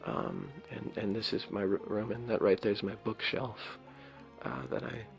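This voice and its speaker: A man with a low raspy voice giving rambling, playful ASMR commentary as he plays a video game. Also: wildly swooping pitch, measured pace, lo-fi sound, faint music behind. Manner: rambling, playful, jokingly self-important. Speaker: game streamer. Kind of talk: ASMR let's play commentary.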